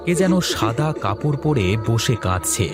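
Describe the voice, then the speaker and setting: A man narrates a scripted cartoon ghost story. His voice has a medium pitch, with music clearly audible behind it. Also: sing-song, normal speed.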